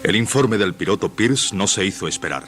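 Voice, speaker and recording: An actor playing the solemn narrator of a suspense adventure on the radio. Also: male voice, lowish voice, lively intonation, brisk, fairly bright tone, slight background noise. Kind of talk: scripted radio drama narration.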